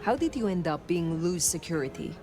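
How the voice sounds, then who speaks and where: An actress voicing a composed, formal elder female vampire investigator in a video game, measured and reserved. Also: fairly low pitch, very animated, dark tone, soft background music. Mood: inquiring.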